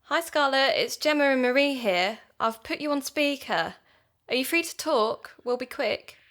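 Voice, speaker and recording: A woman's voice: high voice, expressive, moderate pace, a bit bright. A woman playing an anxious but determined young podcaster in an audio drama. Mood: businesslike.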